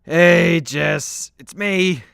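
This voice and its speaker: A man's voice: on the high side, drawn-out words, vocal fry, a bit bright, smooth, no echo, clean studio sound, full character voice. A man playing a weary, hard-drinking immortal Greek superhero in a comedy radio play, hesitant, sheepish and self-deprecating. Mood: sheepish, apologetic.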